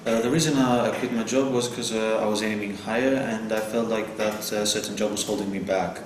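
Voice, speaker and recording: Teenage boy playing earnest male job applicant, halting delivery, monotone, noisy echoey recording, school role-play. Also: on the low side, natural voice. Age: teenage student. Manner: hesitant, frequent restarts. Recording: echoey room with clear noise.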